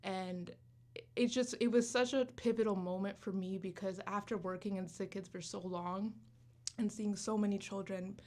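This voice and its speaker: A woman's voice: mid-range voice, fairly even intonation, a little mellow. A woman in her twenties, raised in Canada after an early childhood in Uganda, talking earnestly as a guest in a radio interview, with many "like"s and "um"s. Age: 20s. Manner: earnest, talks quickly with fillers like "like" and "um". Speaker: student club founder, guest. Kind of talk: radio interview, casual chat.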